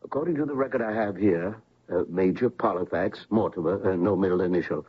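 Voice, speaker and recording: An actor playing an unbending army captain in a radio drama, precise, official and matter-of-fact. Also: male voice, sits low, brisk, slightly rough. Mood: officious. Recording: dull old radio recording.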